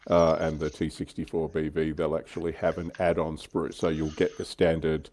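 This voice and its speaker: A man's voice: rumbling low, completely flat delivery, moderate pace, a little mellow. A man co-hosting a casual livestream chat, thinking aloud and explaining his speculations. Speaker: livestream co-host on tabletop wargaming show.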